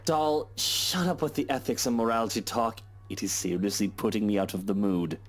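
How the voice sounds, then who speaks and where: A man's voice: on the low side, steady tone, moderate pace, lightly acted. An actor playing a brash, irritable and cynical drunken man in a visual novel game. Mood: annoyed. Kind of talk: game voice acting.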